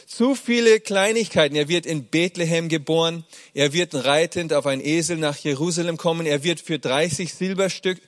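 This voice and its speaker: A middle-aged pastor from Germany giving a sermon, speaking warmly with many hesitations and fillers. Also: male voice, a little high, moderate pace, few pauses. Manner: warm, hesitant delivery with frequent fillers.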